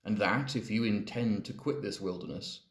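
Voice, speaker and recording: A man's voice: on the low side, moderate pace. A man reading poetry aloud as a dramatic recitation. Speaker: poetry reader. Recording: echoey, reverberant room.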